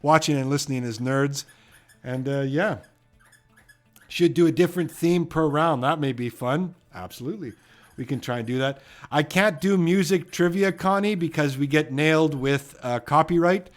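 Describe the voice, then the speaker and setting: A man's voice: a little high, moderate pace. A man from Edmonton with a Canadian accent, hosting a trivia live stream in a loose, rambling way and reading out viewer comments. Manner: chatty and rambling, many fillers and "you know what".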